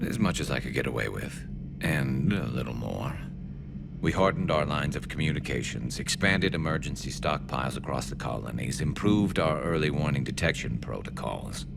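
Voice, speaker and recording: Very deep, gravelly alien officer. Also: male voice, lively intonation, heavy background noise, full character voice. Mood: wry, resolute. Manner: measured, dry understatement. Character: seasoned male alien military officer, loyal, wry. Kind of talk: video game dialogue acting. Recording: voice over loud ship ambience.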